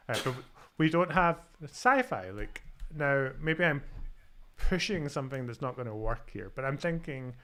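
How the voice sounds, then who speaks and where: A man's voice: medium pitch, fairly bright tone. A man with a light Scottish accent hosting a live-coding stream, talking through his steps and joking about himself as he types. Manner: self-deprecating, thinks aloud while typing. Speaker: host. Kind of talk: casual live-coding chat.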